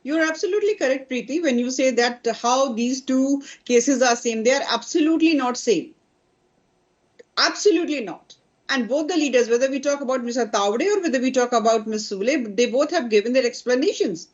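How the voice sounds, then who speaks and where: A woman's voice: high voice, animated, a little fast, dull audio. A woman party spokesperson with an Indian English accent, assertive on a TV panel debate and repeating her phrases.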